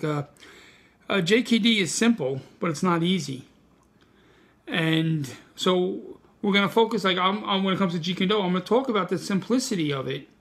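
A man's voice: high-pitched, fairly bright tone. A man with a Brooklyn accent, co-hosting a casual martial arts podcast, loose and self-deprecating with his jokes. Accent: New York. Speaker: co-host, martial arts teacher.

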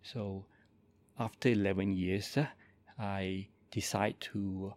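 A Buddhist monk in his forties from Malaysia, speaking softly and calmly as he introduces and leads a guided meditation. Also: deep voice. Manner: slow, soft-spoken and calm, with gentle pauses.

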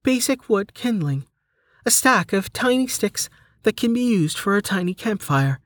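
A narrator voicing a magical game menu that announces items and prices in a formal, measured, obliging way for an audiobook. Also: mid-range voice, animated, no echo, studio quality, pitched above the performer's own voice.

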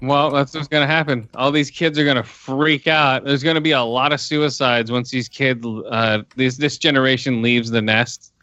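A man's voice: medium pitch, fairly even intonation, moderate pace, few pauses, pushed and bright, no echo, muffled recording. A man in his forties chatting over a video call, wry and opinionated. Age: 40s. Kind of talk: casual chat.

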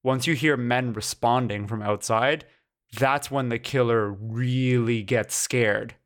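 A man's voice: medium pitch, takes it slowly. A man co-hosting a casual film podcast, analytical and fond of rhetorical questions. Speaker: podcast co-host.

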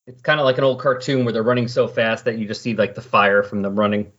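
A man with a slightly rough, husky voice hosts a casual comics podcast. He explains and recaps in a conversational way. The recording is somewhat dull and lo-fi. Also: fairly low pitch, little pitch movement, quick pace, fairly bright tone. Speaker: podcast host. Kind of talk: casual comic book discussion.

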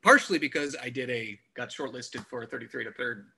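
A man's voice: mid-range voice, fast, gravelly, pushed and bright, slight background noise. A man chatting casually about music as a podcast panelist, in an opinionated, enthusiastic manner. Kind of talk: casual album discussion.

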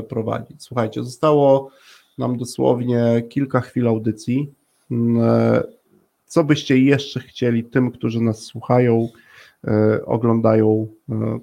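A man's voice: mid-range voice, level tone, a little slow, vocal fry, dark tone. A man hosting a conversational radio interview, speaking in a reflective, searching way. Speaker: radio show host.

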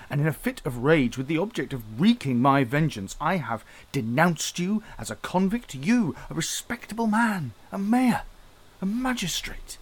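A man's voice: a little high, poor audio. An actor playing a stern, rigid male police inspector with formal, severe speech. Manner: formal, severe, self-condemning declamation. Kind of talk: radio drama acting.

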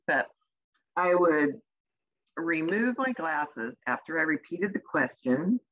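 A woman with a soft voice, an executive communication coach answering interview questions in a measured way with deliberate pauses. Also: low-pitched, lo-fi sound.

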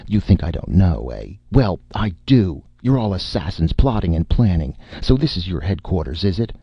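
A man's voice: sits low, sing-song, measured pace, gravelly, husky, dry recording, muffled recording, exaggerated voice. A man voicing a cowardly male writer in a story, nervous and defensive, blustering with feeble bravado. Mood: nervous, paranoid.

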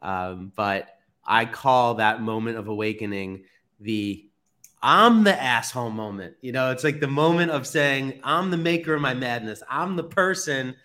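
A man hosts a podcast in a casual, conversational way. His voice sits at an average pitch as he chats and tells stories. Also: a little slow, sharp tone. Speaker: podcast host. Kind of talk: casual conversational interviewing and storytelling.

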